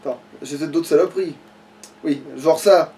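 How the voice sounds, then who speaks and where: A man's voice: fairly high pitch, normal speed, dark tone, recorded from a distance, a little hiss or hum. A man chatting casually as he plays a video game, grumbling and swearing. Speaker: let's player playing the game. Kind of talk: casual gaming chat, live play commentary.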